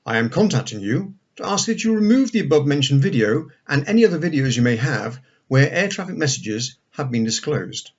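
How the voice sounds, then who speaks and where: A man's voice: mid-range voice, very animated, a little fast, few pauses, muffled recording. A man reading a formal official letter aloud. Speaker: reader of an official email.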